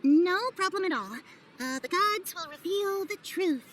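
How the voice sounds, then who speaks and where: A woman's voice: very high voice, animated, measured pace, a bit bright, a little hiss or hum, full character voice. A woman playing a fortune teller in a game cutscene, posing with hesitant solemnity as the mouthpiece of the gods. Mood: solemn bluffing.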